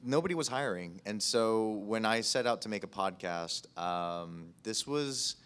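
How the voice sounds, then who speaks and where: A man's voice: lowish voice, lively intonation, unhurried, a bit bright, smooth. An American man speaking informally and conversationally as a podcast producer on a panel. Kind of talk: casual panel discussion.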